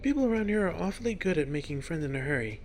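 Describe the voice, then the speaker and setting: A man's voice: a little high, animated, very fast, soft background music, pitched above the performer's own voice. A man voicing a friendly, curious young bookish woman in a story reading, given to puzzled questions. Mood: warm, coaxing. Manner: thinks aloud in puzzled questions.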